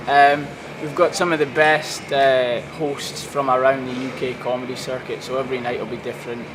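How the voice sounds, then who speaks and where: A man's voice: mid-range voice, level tone, moderate pace. A young man from Scotland, a stand-up comedian chatting casually in an interview about his show, rambling along like a storyteller. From Scotland (Aberdeenshire). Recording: street noise outdoors.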